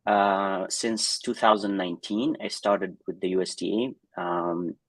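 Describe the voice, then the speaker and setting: A man from Syria and France speaking as a scientist guest in an informal interview, halting and often correcting himself. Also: sits low, steady tone, no echo, low-quality recording. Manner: halting, self-correcting delivery with frequent hesitations. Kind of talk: informal spoken interview answer.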